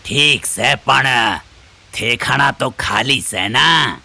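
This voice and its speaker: A man's voice: medium pitch, very slow, vocal fry, pushed and bright, dry recording, full character voice. A man playing a menacing rustic villager with a Rajasthani accent in an audio horror drama. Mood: gruff, admiring.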